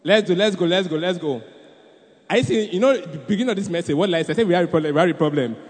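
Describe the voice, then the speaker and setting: A man's voice: high-pitched, fast talker. A man with a Nigerian accent preaching and hosting a church youth event, exhorting the room and repeating phrases for emphasis. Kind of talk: animated youth sermon and stage hosting. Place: large indoor hall at a live event. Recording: reverberant large hall PA.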